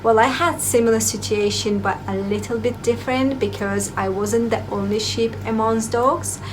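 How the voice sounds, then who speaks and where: A woman's voice: fairly high pitch, steady tone, moderate pace, background noise. A young woman from Azerbaijan telling her own story to camera in a playful, self-mocking way, with non-native English phrasing. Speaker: vlogger. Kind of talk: casual personal storytelling to camera.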